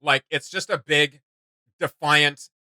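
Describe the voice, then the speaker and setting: A man's voice: on the high side, fairly even intonation, takes it slowly, sharp tone. A man giving casual, enthusiastic reaction commentary as a co-host, slangy and exclamatory, with music behind him.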